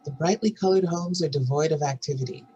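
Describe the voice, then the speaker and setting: Mid-pitched screenplay narrator, faint noise. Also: brisk, warm tone, dull audio. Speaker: narrator reading stage directions. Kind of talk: scripted narration at a table read.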